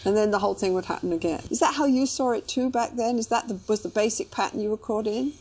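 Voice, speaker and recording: A woman's voice: medium pitch, fast talker, a little mellow, breathy edge. A woman therapist questioning clients in a conversational way. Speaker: therapist interviewing a couple.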